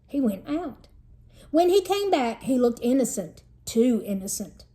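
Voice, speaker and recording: A woman's voice: on the high side, big pitch swings, a little slow, warm tone. A woman teacher reading a children's storybook aloud in an explanatory manner meant for young listeners.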